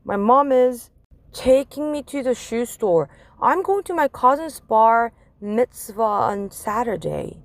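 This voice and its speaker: A woman's voice: a little high, slow, no echo. A woman voicing an easygoing, plain-spoken young schoolboy in a children's storybook read-aloud. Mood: casual. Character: easygoing young schoolboy chatting with his friends.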